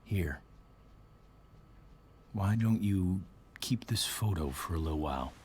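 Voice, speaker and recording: Game role: solemn American army veteran stepfather, grieving, halting, deep-voiced and unhurried. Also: expressive, a little mellow, a little hiss or hum. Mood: earnest consoling. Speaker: video game character. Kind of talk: dramatic game cutscene acting.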